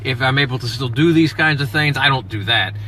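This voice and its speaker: A man's voice: medium pitch, fairly quick, pushed and bright. A man in his late thirties chatting casually while driving, easygoing and explaining things at length. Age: 30s. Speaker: family vlogger, husband. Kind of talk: casual chat. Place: driving a car. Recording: car road noise behind.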